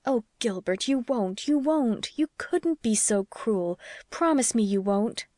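A woman's voice: mid-range voice, normal speed, a little mellow, dry recording, clean studio sound, pitched above the performer's own voice, lightly acted. A woman voicing a passionate, protective young wife who argues in an emphatic, pleading way, as in an audiobook. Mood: pleading, vehement. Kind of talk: audiobook character dialogue.

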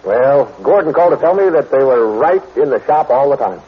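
An actor playing a gruff, easily riled police inspector in a 1940s radio crime drama, blunt and husky. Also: male voice, medium pitch, lively intonation, brisk. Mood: grudgingly pleased. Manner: blunt, brusque. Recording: dull old radio recording.

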